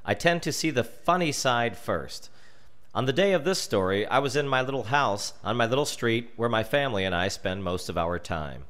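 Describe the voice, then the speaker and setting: An American man reading a scripted story as narrator, theatrically, with dramatic pauses. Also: mid-range voice, lively intonation, a bit bright. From United States. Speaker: storyteller reading his comic family memoir.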